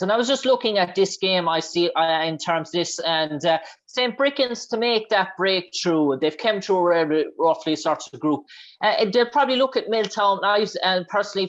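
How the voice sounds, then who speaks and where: A man's voice: sits high, fairly bright tone. A man hosting a radio sports show, bringing in guests and posing questions with many fillers. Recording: dull lo-fi radio sound.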